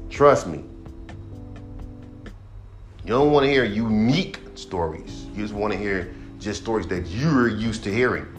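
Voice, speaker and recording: A man's voice: fairly low pitch, unhurried, pauses often, soft background music. Blunt, swearing man ranting on a podcast. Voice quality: monotone voice. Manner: blunt, heated, heavy swearing. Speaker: podcast host and rapper. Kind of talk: profane casual rant.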